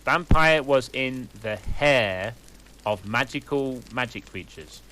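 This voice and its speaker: A man reading a fanfic aloud in a deadpan way, with sarcastic asides. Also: medium pitch, slow pace, slight background noise, poor audio. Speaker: reader.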